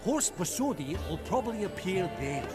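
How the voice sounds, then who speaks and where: An actor playing a chummy, wealthy gentleman in a game, teasing and familiar in manner. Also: sits high, very animated, normal speed, over loud music. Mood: eager. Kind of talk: dramatic game dialogue.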